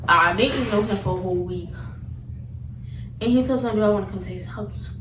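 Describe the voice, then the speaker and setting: A young woman chatting casually as she tells a story, animated, slangy and repetitive in her retelling. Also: medium pitch, a little fast. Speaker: vlogger telling a story. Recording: roomy, distant mic, noticeable background noise.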